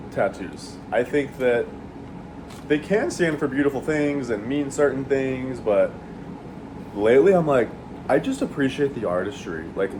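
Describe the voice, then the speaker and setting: A man chatting casually while he eats, rambling and swearing freely, with lots of fillers. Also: medium pitch. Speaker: vlogger eating on camera. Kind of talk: casual chat while eating. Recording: steady air conditioning hum.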